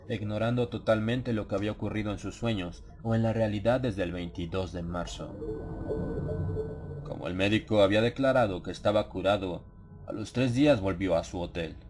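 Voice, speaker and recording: A man narrating a dramatized horror audiobook in a measured, solemn storytelling manner. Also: fairly low pitch, a little mellow. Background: ambient sound effects.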